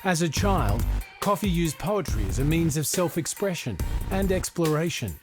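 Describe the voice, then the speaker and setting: A man reading scripted nominee introductions as a voice-over announcer, in an even, polished delivery. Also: fairly high pitch, moderate pace. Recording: voice-over with music underneath.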